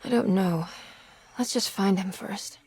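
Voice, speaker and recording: An actress playing a somber, anxious young woman in a video game, speaking in a measured, polite, gloomy way. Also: mid-range voice, a little mellow, breathy edge. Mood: worried, determined. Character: somber, anxious young female client seeking her uncle. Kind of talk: cinematic game voice acting.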